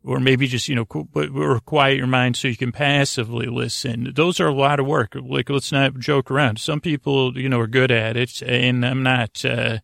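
A man with a creaky voice hosting a bedtime podcast, talking in a meandering, halting, soothing monologue that circles back on itself. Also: mid-range voice, steady tone, fairly bright tone, no echo, clean studio sound. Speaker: host of a bedtime story sleep podcast. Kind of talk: rambling, soothing monologue to the listener.

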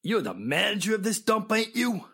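A man's voice: sits high, very animated, normal speed, a bit bright, heavily acted. A man playing a brusque, shifty delivery tough who talks in street slang, in an old-style radio drama. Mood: pushy. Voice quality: raspy, gravelly. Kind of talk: 1940s radio drama acting.

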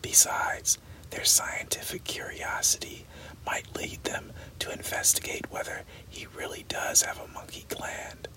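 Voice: unhurried, sharp tone, low-quality recording. A reader voicing a wry, learned, stout male doctor, whispered throughout. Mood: dry. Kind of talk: whispered audiobook reading.

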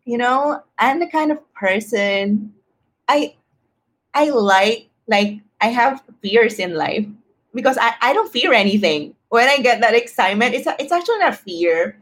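A woman's voice: fairly high pitch, lively intonation, a little slow. A woman talking casually and personally as a podcast guest, expressively acting out her own thoughts as quotes.

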